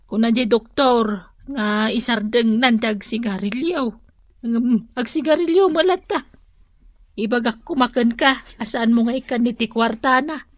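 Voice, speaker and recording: A woman's voice: on the high side, measured pace, no room sound, natural voice. A woman playing a resigned, grumbling old mother with an Ilocano accent in a radio drama. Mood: plaintive.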